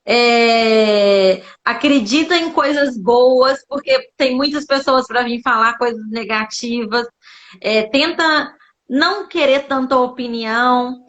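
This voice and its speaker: A woman with a Minas Gerais Brazilian accent talks casually as a guest on a livestream. Her voice is very high and her pace is slow, heard through dull, lo-fi audio. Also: a bit bright. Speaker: guest, mother sharing her story. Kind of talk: casual chat. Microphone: live social media video call.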